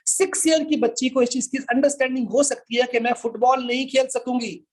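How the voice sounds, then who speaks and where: A man's voice: extremely high pitch, steady tone, a little fast, breathy edge. A man with a Pakistani accent lecturing informally to a class, explaining step by step and repeating his points. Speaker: law lecturer.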